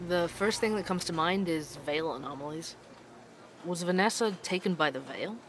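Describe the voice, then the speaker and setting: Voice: mid-range voice, moderate pace, fairly bright tone, close to an everyday voice. A performer playing a curious, wry podcast investigator in an urban fantasy audio drama, conversational and friendly, with faint ambience behind. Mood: matter-of-fact. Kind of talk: audio drama dialogue and narration. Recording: faint background noise, ambience.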